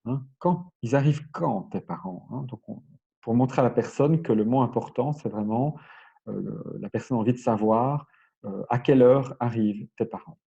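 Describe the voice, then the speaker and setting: Dark-voiced male teacher, dull sound. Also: fairly low pitch, vocal fry, slightly rough. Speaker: language teacher giving a lecture. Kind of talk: explanatory lesson, semi-improvised.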